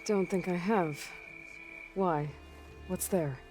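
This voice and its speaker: Young heroine, determined, matter-of-fact, lower pitch, game cutscene, soft score. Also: female voice, slow pace, soft background music. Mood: curious, matter-of-fact. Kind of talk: video game cutscene acting.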